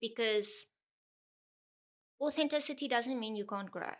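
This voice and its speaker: A woman with an Afrikaans accent, a guest chatting casually on a podcast, reflective and quick to laugh. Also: a little high. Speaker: guest, content creator.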